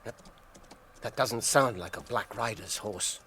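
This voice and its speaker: An actor playing a wounded, weary but stubbornly loyal hobbit in a radio drama, well-spoken and earnest. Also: male voice, lowish voice, unhurried, background noise, lightly acted. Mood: weak, puzzled.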